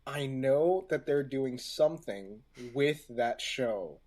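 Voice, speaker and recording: A man's voice: medium pitch, animated, slow pace, over a noisy crowd. A man co-hosting a podcast in casual chat, teasing and jumping in with interjections. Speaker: podcast co-host.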